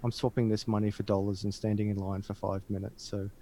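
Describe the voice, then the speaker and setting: A man's voice: fairly low pitch, flat delivery, fast talker, warm tone, slight background noise. A man talking casually as a channel host, making points and telling anecdotes in a conversational style.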